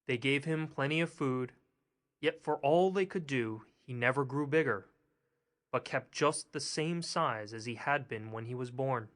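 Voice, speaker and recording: A man narrating a fairy tale as an audiobook, in a measured, steady reading. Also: mid-range voice. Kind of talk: scripted storybook narration.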